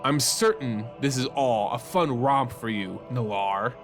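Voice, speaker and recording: A man voicing a centuries-old vampire lord in an audio fantasy story, deep, stern and disdainful. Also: a little slow, soft background music, natural voice.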